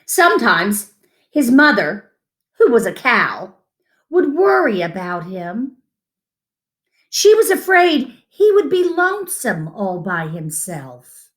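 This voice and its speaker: A woman reading a picture book aloud to children in an expressive, gentle, deliberate storytelling manner, with asides about the pictures. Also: a little high. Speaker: children's story-time reader.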